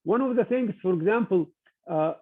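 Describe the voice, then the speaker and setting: An older man from Egypt giving an informal talk to students, with halting speech and frequent restarts over a tinny video-call line. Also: high voice, animated. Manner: halting, many restarts and fillers. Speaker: guest speaker.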